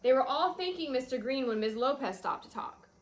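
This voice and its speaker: A woman reading a children's picture book aloud in an expressive storytelling style. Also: sits high, fairly quick, a little hiss or hum.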